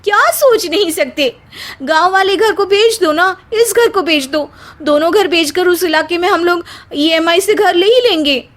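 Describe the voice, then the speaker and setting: A woman's voice: very high voice, expressive, a little slow, fairly bright tone, voice pushed up, heavily acted. A woman voicing an envious, demanding young wife in a family story, insistent and exclamatory. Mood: angry, demanding. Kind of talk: dramatised Hindi family storytelling.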